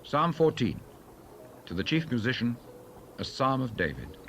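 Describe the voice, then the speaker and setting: A man announces titles in a formal scripted style. His voice is bright and forceful with lively swings of pitch, over faint background noise. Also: a little slow.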